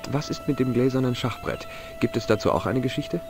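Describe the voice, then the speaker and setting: A man's voice: mid-range voice, a little fast, lightly acted. A man playing an easygoing, curious young amateur investigator in an adventure game, conversational and slightly wry. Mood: curious, probing. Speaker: game protagonist and narrator. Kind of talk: adventure game voice acting, German dub. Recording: game music under the voice.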